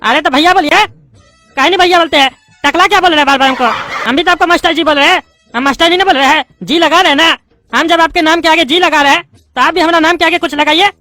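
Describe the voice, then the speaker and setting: A voice actor doing a squeaky, touchy bald politician. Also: sharp tone, faint music behind. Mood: arguing. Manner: indignant, rapid-fire arguing and lecturing. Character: bald loudmouth male politician student, touchy and argumentative. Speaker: adult student in a class.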